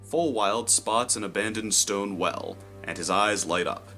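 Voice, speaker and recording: A man reading scripted story narration in a measured, descriptive way. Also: fairly low pitch, sharp tone, faint music behind.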